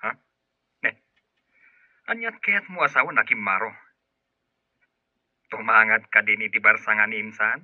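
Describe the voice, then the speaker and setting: A man's voice: lowish voice, a little slow, long pauses, no echo. An actor playing a suspicious, mocking man with a confrontational, sneering manner on the phone in an Ilocano radio drama. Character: suspicious, mocking male relative of the boss. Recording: dull telephone-call sound.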